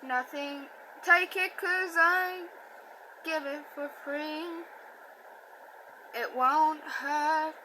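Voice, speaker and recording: Woman reading as a bold young girl singer, slow and drawn out, high voice. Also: steady tone, bright, forceful tone, a little hiss or hum, exaggerated voice, rough recording. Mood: defiant singing. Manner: slow, drawn-out sung delivery. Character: young female singer tribute, defiant and bold.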